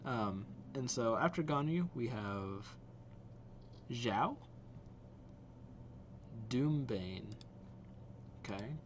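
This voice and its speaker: A man's voice: medium pitch, lively intonation, very slow, long pauses, dull audio, a little hiss or hum. A young man hosting a game reaction video, giving casual, off-the-cuff commentary. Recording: faint game music behind voice. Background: game trailer music.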